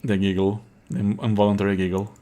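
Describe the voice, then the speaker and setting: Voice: low voice, little pitch movement, quick pace, mellow. Wry, casual game streamer. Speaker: streamer playing and commenting on a game. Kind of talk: live let's play commentary, reading game narration aloud.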